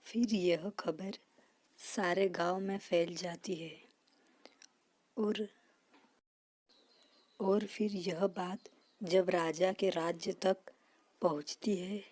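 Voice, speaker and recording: A woman's voice: lowish voice, fairly even intonation, drawn-out words, pauses often, a little mellow, no room sound. A woman narrating a children's story as the storyteller.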